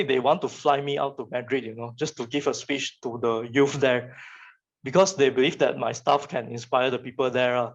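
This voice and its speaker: Dull lo-fi call audio, young man, Malaysian accent, native Chinese speaker, mid-pitched, informal student talk, halting and colloquial, repeated phrases. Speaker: guest speaker, photographer and digital artist. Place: online virtual session. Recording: muffled, lo-fi online call audio.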